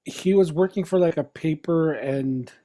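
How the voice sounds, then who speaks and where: A middle-aged man from the Philippines, a guest chatting casually in an interview, relaxed and chuckling as he talks. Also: a little high, unhurried. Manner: relaxed, chuckling, trails off and restarts.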